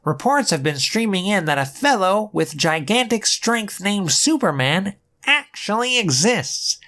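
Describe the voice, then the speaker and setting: A gruff, no-nonsense newspaper editor, voiced by a man in a comic dub. He speaks slowly, with wide swings of pitch. His tone is businesslike. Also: very animated, sharp tone, exaggerated voice. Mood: brusque. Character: brusque male newspaper editor.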